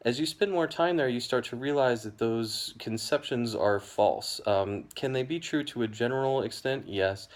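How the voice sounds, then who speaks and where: A young American man explaining a topic to camera in a measured, hedging way, with frequent ums and "you know". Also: medium pitch. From United States. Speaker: educational video host.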